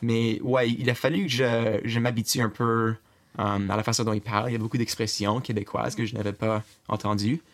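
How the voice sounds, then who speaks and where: A young man from eastern Ontario with a Franco-Ontarian accent, talking casually in an interview and often pausing with fillers and restarts. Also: fairly low pitch, fairly even intonation. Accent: Franco-Ontarian French. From Eastern Ontario, Canada. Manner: hesitant, many fillers and restarts. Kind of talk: casual recorded conversation.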